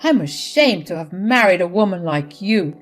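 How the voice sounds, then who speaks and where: A woman's voice: on the low side, expressive, normal speed. A woman voicing a stern middle-aged husband who reproaches his wife, over faint music.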